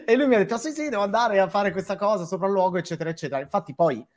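Higher-voiced man hosting a casual chat. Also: rapid-fire. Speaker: host. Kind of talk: casual live chat interview.

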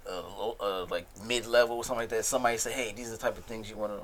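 A man's voice: on the low side, steady tone, very fast. A man hosting a podcast, interviewing a comedian in a laid-back, casual way. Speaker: podcast host.

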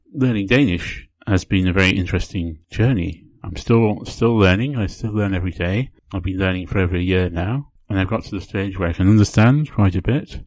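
A man hosting his own podcast, talking casually on his own in an unhurried way and often repeating words as he gathers his thoughts. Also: low voice, a little mellow, no room sound, low-quality recording. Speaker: podcast host. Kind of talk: casual solo podcast talk.

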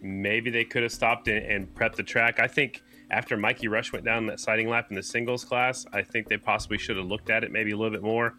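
A man's voice: lowish voice, fairly even intonation, brisk, few pauses, sharp tone, soft background music. A man co-hosting a podcast, explaining race details in casual commentary. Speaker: podcast co-host.

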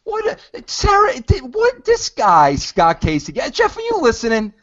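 Brief: man in his fifties, bossy executive, swooping animated delivery, muffled lo-fi sound. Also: very high voice, a little slow. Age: 50s. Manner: teasing, pushy, interrupts. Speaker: network executive. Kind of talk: bossy coaching of interviewers.

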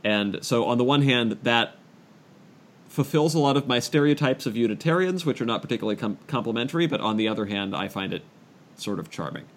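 A man hosting a podcast in casual discussion, halting and self-deprecating, repeating phrases as he works out his thought. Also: medium pitch, lively intonation, a little hiss or hum. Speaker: podcast host.

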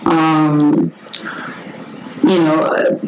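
A podcast guest answering casually on a remote call, speaking in a subdued, halting and hesitant way. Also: medium pitch, monotone. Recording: clear background noise on remote call.

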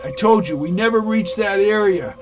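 A man voicing a hot-headed, desperate captive in an audiobook, yelling bluntly and emphatically. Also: sits high, normal speed, music in the background, voice pushed up, lightly acted. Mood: desperate insistence. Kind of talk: dramatic audiobook dialogue.

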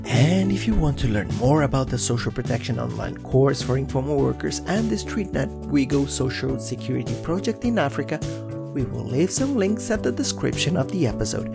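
Male podcast presenter, scripted delivery, lively intonation, creaky edge, prominent plucked guitar underneath. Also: mid-range voice, a little fast. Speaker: podcast host. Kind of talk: scripted podcast sign-off narration.

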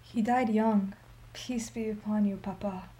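Woman playing an elderly survivor, soft dark timbre, grave, dignified, measured radio-drama delivery. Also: mid-range voice, fairly even intonation, natural voice, rough recording. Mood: solemn remembrance. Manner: solemn, measured, emphatic storytelling. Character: old Armenian woman survivor, sorrowful, resolute, dignified. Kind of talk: radio play acting.

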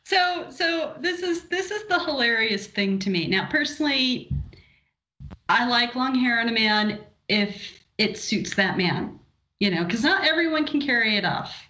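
Bright, higher-voiced woman in a roomy space. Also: animated, measured pace. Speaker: podcast co-host. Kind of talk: casual chat. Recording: roomy, dull lo-fi sound.

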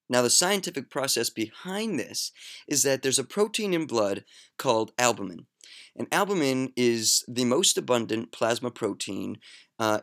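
A man's voice: mid-range voice, fairly bright tone. A young man hosting a podcast, explaining facts in a chatty, joking way with lots of fillers and asides. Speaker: podcast host. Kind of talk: casual educational monologue.

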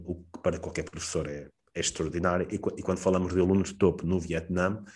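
A man with a European Portuguese accent, answering interview questions at length, pausing often with fillers and restarts. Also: deep voice, a little mellow. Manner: hesitant, many fillers and restarts. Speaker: guest, economist and lecturer. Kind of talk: conversational interview.

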